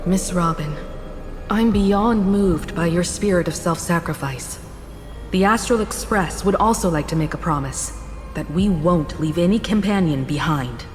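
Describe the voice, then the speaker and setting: An actress playing a calm, composed mature woman who leads a crew in a video game, speaking in a measured, reassuring way. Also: on the low side, lightly acted. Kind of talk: game cutscene voice acting. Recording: reverb with game music behind.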